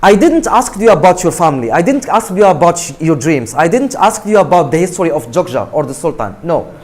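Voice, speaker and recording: A man from Morocco gives an emphatic motivational talk to students in English. His voice sits fairly high and swings widely in pitch. He repeats words and keeps asking his listeners "right?". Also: very animated, a little fast, darkish tone. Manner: emphatic, repetitive, asks "right?" often.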